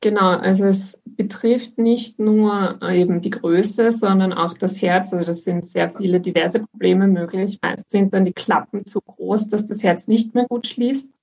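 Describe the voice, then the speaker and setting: Gravelly, husky young woman. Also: medium pitch, a little slow, few pauses, muffled recording. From Austria. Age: 20s. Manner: calm, informative, with many fillers. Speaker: guest. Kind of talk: explaining in an interview.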